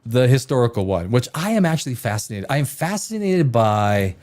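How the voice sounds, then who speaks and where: A man's voice: medium pitch, big pitch swings, a little fast, darkish tone. A man from the Czech Republic, hosting a solo livestream, rambling from one tangent to the next with stammered restarts and swearing. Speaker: livestream host.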